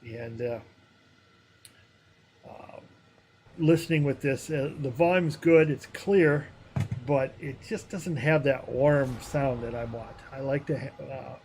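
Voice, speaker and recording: A man chatting casually while live-streaming a game, rambling with many fillers, with a somewhat high, harsh voice. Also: slow, darkish tone, slight background noise. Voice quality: higher, harsher voice.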